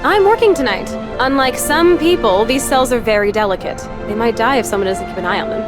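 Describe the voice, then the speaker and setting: A woman acts out a spirited young anime scientist with a very high, animated voice. She is teasing and playfully bossy, with clear music in the background. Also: a little fast, close to an everyday voice. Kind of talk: anime game voice acting. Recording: music behind the voice.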